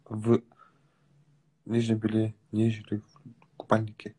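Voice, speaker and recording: Young Dagestani-accented man, husky mellow tone. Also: lowish voice, measured pace. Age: 20s. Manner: relaxed, teasing, mumbles at times. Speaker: livestream host. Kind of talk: casual livestream chat with video callers. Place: small room at home, on a sofa, indoors. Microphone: phone front camera held close.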